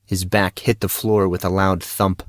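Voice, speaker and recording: A man narrates a fiction audio drama in a low register. The sound is dry, with very little room on it, and the delivery is scripted storytelling. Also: little pitch movement, a little slow, dry recording.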